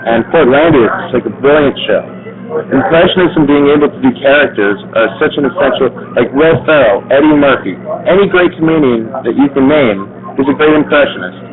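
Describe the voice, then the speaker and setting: A man's voice: medium pitch, a little fast. A man hosting an online talk show, chatting casually and giving his opinions in a loose, rambling way. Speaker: show host. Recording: tinny phone-like audio with crowd chatter behind.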